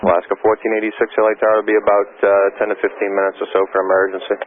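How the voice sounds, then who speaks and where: A man speaks as an air traffic controller over a narrow-band radio with faint static. His delivery is very quick and even, made up of clipped procedural instructions. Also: on the low side. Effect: aviation radio transmission. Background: faint radio noise.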